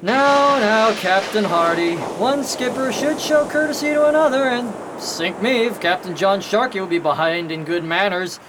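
An actor playing a ruthless, mocking pirate captain in a swaggering audio drama style. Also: male voice, normal speed, few pauses, natural voice. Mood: menacing. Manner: swaggering, mock-courteous, barking orders. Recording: noisy audio with steady rumble.

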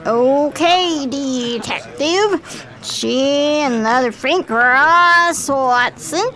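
A woman's voice: high voice, extremely slow, pushed and bright, heavily acted. A woman playing a bitter, mean, hard-drinking 1920s swindler in a radio play, snappish and slangy. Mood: bitter, drunken.

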